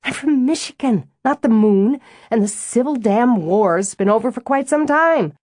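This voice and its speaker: A no-nonsense office manager, as a woman narrator voices her, crisp and dry in delivery. Her voice runs a touch low, with a close, dead-dry sound. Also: moderate pace, a little mellow, no room sound, close to an everyday voice. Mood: exasperated. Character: brisk, buttoned-up northern office manager woman.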